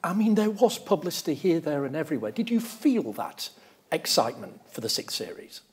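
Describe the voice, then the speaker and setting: Guy interviewer, middle-aged, really husky voice, goes up and down a lot. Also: a little high, sing-song, brisk. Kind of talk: on-stage interview. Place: large lecture theatre stage.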